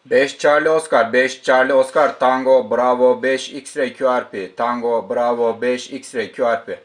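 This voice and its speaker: A man's voice: medium pitch, fairly even intonation, unhurried, slight background noise. A man working as an amateur radio operator, speaking politely and courteously as he exchanges call signs and short radio messages.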